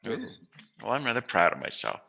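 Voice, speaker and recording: A man's voice: mid-range voice, huge pitch swings, fairly quick, vocal fry, bright, forceful tone. A man hosting a casual chat interview, talking in a playful, jokey, musing way. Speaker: interviewer, host.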